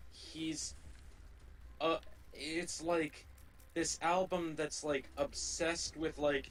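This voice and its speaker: A man with a slightly high voice and a slow delivery, co-hosting a casual gaming stream. He rambles and halts, repeating phrases as he feels his way through a thought. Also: fairly bright tone, a little hiss or hum, low-quality recording. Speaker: co-host of a gaming livestream. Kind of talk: casual chat during gameplay.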